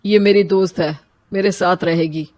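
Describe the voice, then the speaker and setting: A woman's voice: on the low side, steady tone, normal speed, darkish tone, no room sound, pitched below the performer's own voice, close to an everyday voice. A woman voicing an arrogant, stubborn young village husband in a cartoon story, curt and self-important.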